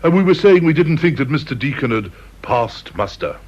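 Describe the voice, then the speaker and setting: Fussy British board chairman, lively intonation. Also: medium pitch, moderate pace. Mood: brisk, businesslike. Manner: hesitant, deferential, clubbable. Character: genial, fussy male chairman of an interview board. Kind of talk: British radio drama acting. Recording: dull old radio recording.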